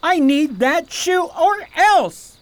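A woman as an animated, earnest boy prince. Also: extremely high pitch, slow, heavily acted. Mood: frantic, insistent. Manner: earnest, excitable, hesitant when shy. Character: earnest, anxious, awkward young prince, a boy. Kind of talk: radio theater acting.

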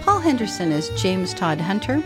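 Female announcer for radio play credits, animated intonation, prominent fiddle music underneath. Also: medium pitch, normal speed. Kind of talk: scripted radio drama credits. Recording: loud violin music behind.

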